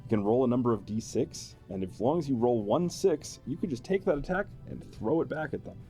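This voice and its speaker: A man's voice: mid-range voice, lively intonation, fairly quick, dark tone, faint music behind. An amused, playful man, explaining a tabletop game character build in a chatty style. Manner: amused, playful, chuckling often. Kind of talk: explanatory chat with a co-host.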